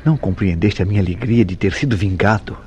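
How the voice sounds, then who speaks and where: A man acting a jealous, tormented lover in a radio soap opera, with theatrical formal diction and dramatic pauses. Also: on the low side, darkish tone, a little hiss or hum, close to an everyday voice. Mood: defensive. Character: Mauro, jealous, tormented lover confessing a crime.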